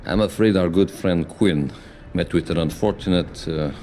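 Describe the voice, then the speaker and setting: A man's voice: low-pitched, normal speed, dark tone, a little hiss or hum, close to an everyday voice. An actor playing a duplicitous secret agent in a video game, a man who is wry and politely evasive. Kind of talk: video game dramatic acting.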